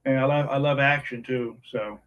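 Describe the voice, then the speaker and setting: A soft-spoken man co-hosting a casual movie review podcast, talking quietly. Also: medium pitch, fairly even intonation, moderate pace, lo-fi sound. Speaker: podcast co-host.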